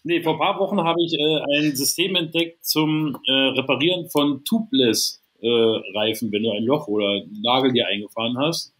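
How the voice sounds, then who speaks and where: A man's voice: mid-range voice, normal speed, few pauses, fairly bright tone. A man in his thirties from Berlin, co-hosting a podcast over a video call on a headset. He talks loosely, swears in jest and explains gear eagerly. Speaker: co-host. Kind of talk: casual podcast chat.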